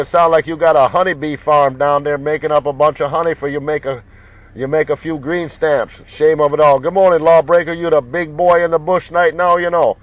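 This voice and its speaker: Male CB operator, slightly raised pitch, folksy slang and repeated call signs, dull lo-fi sound with radio noise. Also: no echo. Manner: repetitive, jocular, folksy banter. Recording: muffled lo-fi sound with loud radio noise, clicks. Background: CB radio noise and clicks.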